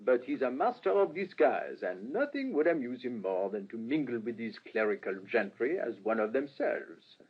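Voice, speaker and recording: A man acting in a radio drama as a courteous, astute middle-aged police inspector, speaking in a measured, formal, ruminative way. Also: medium pitch, big pitch swings, breathy edge. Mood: explaining, assured. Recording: muffled, lo-fi radio recording, faint noise.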